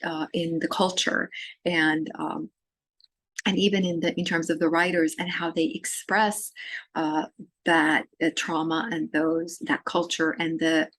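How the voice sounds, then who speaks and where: Syrian-born woman lecturing with halting fillers. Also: low-pitched, flat delivery, fairly bright tone, no echo. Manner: halting, many fillers and restarts. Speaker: literature lecturer. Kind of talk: recorded course lecture.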